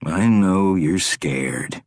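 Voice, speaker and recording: A man doing a soft-spoken menacing captor. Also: deep voice, animated, no room sound, exaggerated voice. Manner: slow, soft, quietly menacing.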